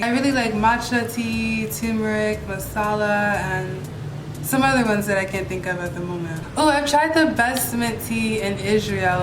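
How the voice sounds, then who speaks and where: Young american girl vlogging, gushy and rambly, mid-range voice, kinda echoey room. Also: mid-range voice. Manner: chatty, gushing, rambling. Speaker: travel vlogger. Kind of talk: casual vlog chat. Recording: roomy echo.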